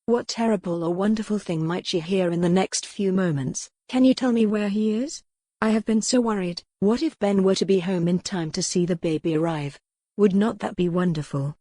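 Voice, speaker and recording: A woman's voice: fairly low pitch, warm tone, dry recording, clean studio sound. A woman narrating a historical romance audiobook in a scripted, dramatic storytelling style.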